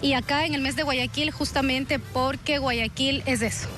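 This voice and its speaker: A woman gives a street interview. Her voice is very high, and music plays clearly in the background. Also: fairly even intonation, moderate pace. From Quito, Ecuador.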